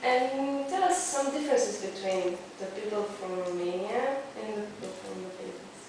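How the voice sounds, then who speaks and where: A woman's voice: mid-range voice, lively intonation, normal speed, a little mellow. A woman interviewer putting short, simple questions to a guest. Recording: echoey room, distant mic, faint noise.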